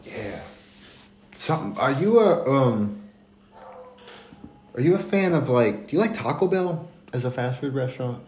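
A man's voice: medium pitch, expressive, a little mellow. A man co-hosting a podcast, telling stories in casual, crude chat and laughing often. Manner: laughs often, swears, drawn-out storytelling. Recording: echoey room with faint noise.

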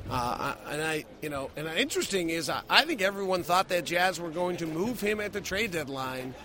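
A man's voice: fairly high pitch, very animated, brisk, pushed and bright. A man giving emphatic, opinionated post-game sports analysis as a radio play-by-play host.

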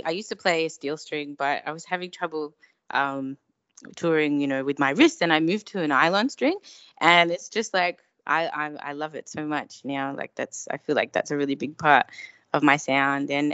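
A woman with an Australian accent and a low-pitched voice talks as a musician guest in a casual interview. She speaks in an effusive, thankful way. The sound is dry and a little muffled. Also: fairly bright tone, no echo. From Melbourne, Australia. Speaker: guest singer-songwriter.

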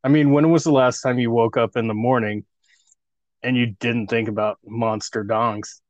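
A man's voice: mid-range voice, steady tone, normal speed, no room sound, muffled recording. A man in his thirties co-hosting a casual podcast, joking crudely and laughing often. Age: 30s. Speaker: podcast co-host.

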